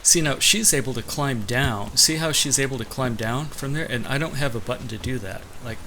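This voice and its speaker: A man's voice: medium pitch, a little fast, pushed and bright, a little hiss or hum. A man giving casual, sardonic gameplay commentary with a complaining streak. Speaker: let's play gamer.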